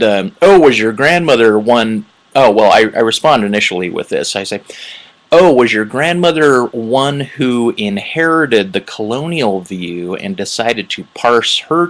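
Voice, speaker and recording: A man talking through a response video in rambling spoken commentary, halting and wordy, with lots of fillers, restarts and throat clearing. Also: lowish voice, fairly bright tone, poor audio. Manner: wordy, academic phrasing. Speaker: video blogger responding to another video.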